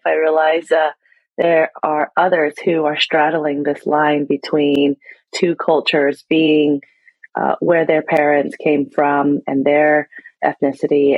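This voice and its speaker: Woman, low voice, husky, dry, dull lo-fi audio, earnest storytelling guest. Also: dry recording. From United States. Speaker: guest, nonprofit founder. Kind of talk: interview conversation.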